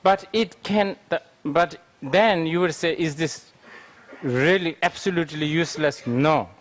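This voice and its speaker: Slightly high-voiced man teaching calmly. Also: a little hiss or hum. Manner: unhurried, thinking aloud with fillers. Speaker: Buddhist teacher answering questions. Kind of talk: lecture Q&A answer.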